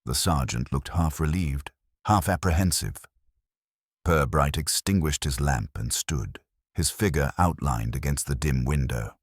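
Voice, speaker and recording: A man's voice: extremely low pitch, measured pace, breathy edge, dry recording, studio quality. A man giving scripted narration of a detective story as an audiobook narrator.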